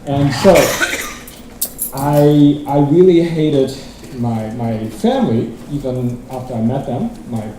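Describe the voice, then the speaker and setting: A man's voice: medium pitch, lively intonation, takes it slowly, warm tone, far from the mic, a little hiss or hum. Man from Hong Kong, echoey hall. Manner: rambling, self-deprecating, restarts often. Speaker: guest speaker. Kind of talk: conference talk. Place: large lecture hall.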